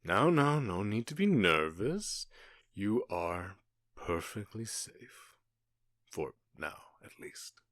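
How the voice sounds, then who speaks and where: Man acting a very deep, slow, menacing outlaw boss. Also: sing-song, a bit bright, no echo, studio quality, exaggerated voice. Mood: mock-polite, taunting. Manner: mock-polite, taunting, deliberate pauses. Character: menacing, gloating male outlaw gang boss and kidnapper. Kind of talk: ASMR roleplay voice acting.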